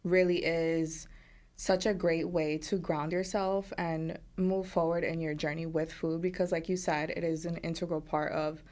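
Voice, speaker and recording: A woman's voice: low-pitched, level tone, normal speed, a little mellow. A woman dietitian who grew up in the United States, a guest speaking in an upbeat, casual way and laughing often. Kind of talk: casual interview talk.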